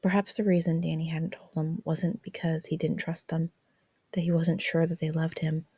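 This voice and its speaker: A woman narrates a story in a low voice with plenty of creak. The delivery is scripted and dramatic, and the sound is dry and close. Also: flat delivery, moderate pace, dark tone, no room sound. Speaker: fan fiction reader.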